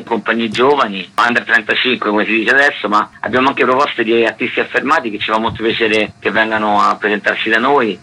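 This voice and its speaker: A man's voice: medium pitch, sharp tone, husky, rough recording. A man speaking conversationally as a theatre festival co-director in a radio interview.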